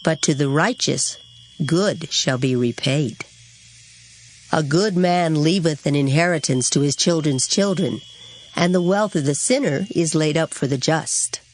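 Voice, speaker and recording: A woman's voice: deep voice, unhurried, slight background noise. A woman reciting Bible verses in a formal, theatrical dramatized style. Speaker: scripture reader. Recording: music behind the voice. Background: background music.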